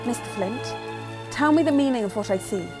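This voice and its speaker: A woman's voice: fairly high pitch, lively intonation, a little fast, loud music behind the voice, natural voice. A woman playing a frightened, indignant young heiress in an old-time radio melodrama, theatrical and pleading. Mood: puzzled, suspicious.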